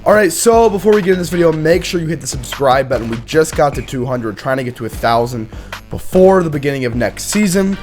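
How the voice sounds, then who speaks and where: A young man hosting a college football talk show, hoarse and sick with a nearly lost voice, rambling through stats with fillers and throat-clearing. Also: on the high side, animated, brisk, a little mellow, soft background music. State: sick, hoarse, losing his voice. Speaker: host. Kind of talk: casual sports commentary.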